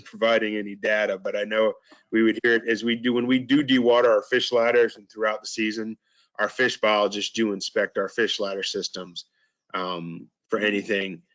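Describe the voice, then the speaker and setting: Hoarse low-voiced man on a call. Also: level tone, normal speed, a bit bright. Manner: hesitant, frequent ums and throat clearing. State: hoarse, recovering voice. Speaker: meeting presenter. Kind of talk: casual verbal update. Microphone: video call. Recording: dull lo-fi call audio.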